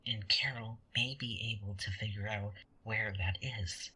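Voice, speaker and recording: An actor in a sci-fi audio drama playing a conniving angel, smug and taunting, with a breathy, husky voice and a theatrical, condescending delivery. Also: mid-range voice, steady tone, unhurried, sharp tone, rough recording. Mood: insistent.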